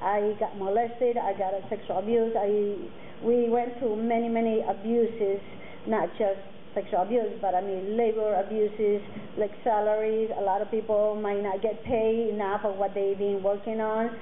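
A woman in her forties, a native Mixtec speaker, speaking earnestly to students and halting often with fillers and restarts. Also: mid-range voice, level tone. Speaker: guest speaker. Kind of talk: personal talk to students. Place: classroom with curtains, indoors. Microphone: table mic on a stand. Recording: tinny phone-like sound, faint noise.

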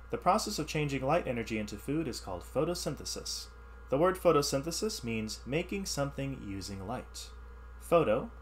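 A man reading a science ebook aloud as a teacher, pausing to explain the text in simpler words. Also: medium pitch, a little hiss or hum. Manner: reads text then explains in plain words. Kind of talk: read-aloud lesson with asides.

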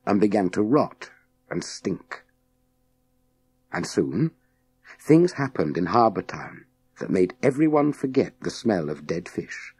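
Deep-voiced male storyteller, slow and expressive. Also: slightly rough, no room sound. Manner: measured, dramatic delivery with deliberate pauses. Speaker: audiobook narrator. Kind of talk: scripted storytelling narration of a children's science fiction novel.

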